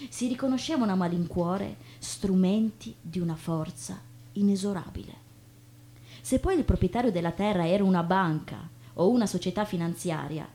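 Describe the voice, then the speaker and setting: A woman reading literary prose as a stage performer, with deliberate, emphatic phrasing. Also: medium pitch, darkish tone. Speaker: stage reader.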